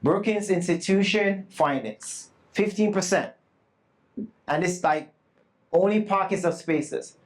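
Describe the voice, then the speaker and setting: Earnest higher-pitched male presenter. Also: takes it slowly. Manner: earnest, emphatic, self-deprecating asides. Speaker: conference presenter. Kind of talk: live summit talk to an audience. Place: conference room with a live audience. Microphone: not loud at first, asked to speak louder.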